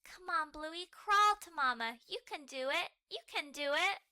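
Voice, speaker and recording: Loving mum dog, played by a woman, storybook cartoon: explanatory, wry, expressive pitch, measured pace. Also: exaggerated voice. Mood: excited. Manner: explaining, reminiscing, gently wry. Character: Mom, patient, candid, loving cartoon mother dog.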